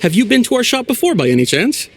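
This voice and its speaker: A man voicing a fawning, smooth-talking tea merchant with a sales patter that slips into stammering, with faint music behind. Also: very high-pitched, sing-song, quick pace, a little hiss or hum, full character voice. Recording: game audio with faint music.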